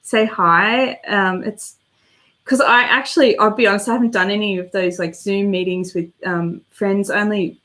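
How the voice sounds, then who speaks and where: A woman's voice: mid-range voice, steady tone, normal speed, fairly bright tone. A woman hosting a casual live stream and answering viewer comments, chatty and meandering as she thinks aloud, slightly congested with a worn voice.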